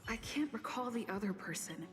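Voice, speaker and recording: An actress playing a pensive, determined young female investigator in a game's inner monologue, musing deliberately. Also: a little high, expressive, natural voice. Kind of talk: scripted game inner monologue. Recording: long reverb, music under the voice.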